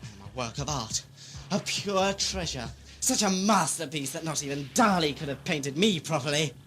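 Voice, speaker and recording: A theatrical, swooping high-voiced villain lord. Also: male voice, animated, normal speed, fairly bright tone, soft background music. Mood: grandiose. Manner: grandiose, theatrical speechifying. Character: megalomaniac deposed lord villain, gloating and vengeful. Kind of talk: amateur sci-fi audio drama acting.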